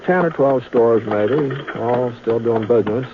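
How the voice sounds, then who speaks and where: A man's voice: medium pitch, measured pace, noisy background, close to an everyday voice. A man playing a stammering drifter gunfighter. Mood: reflective. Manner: hesitant, stammering, folksy drawl. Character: drifting Texas plainsman gunfighter, soft-spoken. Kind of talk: 1950s radio drama narration and dialogue. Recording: tinny old radio broadcast with music.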